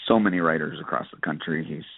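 A man, a country singer giving casual, halting interview answers full of hesitations. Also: a bass voice, creaky, a little hiss or hum. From Canada. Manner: halting, frequent hesitations and you-knows. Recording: narrow phone-like line.

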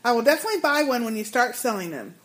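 A woman with a high, bright voice co-hosts a casual podcast. She reads listener emails aloud in a playful, chatty way, laughing easily with her co-host. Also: sing-song, very fast.

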